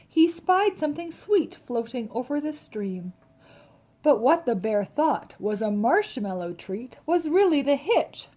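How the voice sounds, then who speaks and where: Higher-voiced woman reading a children's storybook. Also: dark tone. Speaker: storybook reader. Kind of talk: expressive read-aloud of a rhyming children's picture book.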